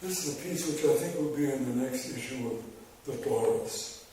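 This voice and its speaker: A man reading his own poems to an audience, with slow, measured delivery and wry asides between poems. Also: medium pitch. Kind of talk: poetry reading with spoken asides. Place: large hall before a live audience. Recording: very echoey hall, voice far from mic, background noise.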